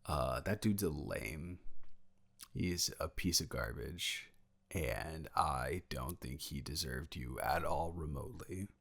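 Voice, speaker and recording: Man playing a low-voiced, sheepish young roommate. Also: gravelly, a bit bright, heavily acted. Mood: confessing, intense. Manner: hesitant, casual, halting with pauses. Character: protective male roommate, sheepish, secretly jealous best friend. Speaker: audio roleplay performer. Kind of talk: improvised intimate roleplay monologue.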